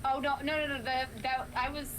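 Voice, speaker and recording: A young woman guest streamer chatting casually while gaming, animated and sarcastic. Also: sits high, background noise. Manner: animated, sarcastic, quick reactions.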